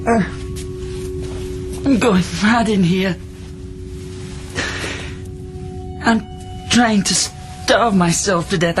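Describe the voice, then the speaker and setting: An actor playing a desperate confined patient from the past in a radio drama, speaking in an emotional, halting, plaintive way. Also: male voice, high voice. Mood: anguished. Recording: radio drama with music underneath.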